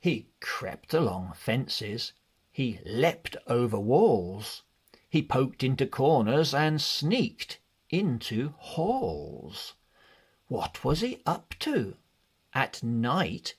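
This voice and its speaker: A man narrating a children's read-along storybook in an expressive, deliberate way. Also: medium pitch, breathy edge. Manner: expressive, deliberate, measured delivery.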